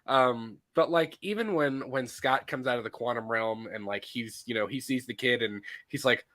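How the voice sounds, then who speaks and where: A man co-hosting a casual movie podcast, a little stuffy from allergies, animated and quick to laugh, often restarting his sentences. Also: medium pitch. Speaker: podcast co-host. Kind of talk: casual chat.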